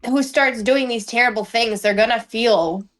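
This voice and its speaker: A woman's voice: fairly high pitch, normal speed, a bit bright. A woman chatting and debating informally and bluntly as a player at a tabletop game. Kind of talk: casual chat and debate.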